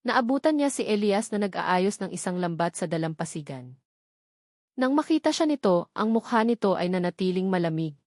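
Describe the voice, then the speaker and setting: Filipino woman, audiobook narrator. Mid-pitched, dry studio sound, dramatic and deliberate phrasing. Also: big pitch swings, darkish tone, no room sound. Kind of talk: dramatic scripted story narration.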